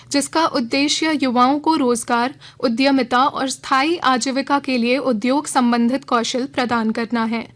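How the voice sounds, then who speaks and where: A woman reading a regional radio news bulletin in a measured, formal way from a studio. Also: sits high. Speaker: news reader.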